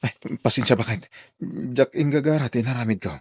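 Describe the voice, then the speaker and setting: A man's voice: mid-range voice, fairly quick, slightly rough, no room sound, lo-fi sound, natural voice. A man with an Ilocano accent playing a hard-drinking husband who turns belligerent or sheepish in a radio-style drama. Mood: sheepish, apologetic.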